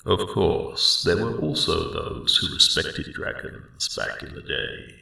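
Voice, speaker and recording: A man voicing a friendly, elderly male dragon in an ASMR roleplay, rambling slowly and wryly in a deep, gravelly rumble. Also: heavily acted. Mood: mellow, friendly. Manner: slow, rambling, reflective, gently wry. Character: friendly ancient male dragon, mellowed guardian of a spring. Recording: roomy cave-like echo, distant sound.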